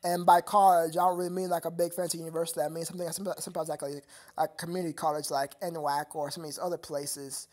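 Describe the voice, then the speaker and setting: A man's voice: a little high, flat delivery, quick pace, hardly any pauses, darkish tone. Rambling young guy streamer, laughing a lot. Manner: rambling, stammering, laughs often. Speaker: live streamer. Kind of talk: casual live stream chat with viewers.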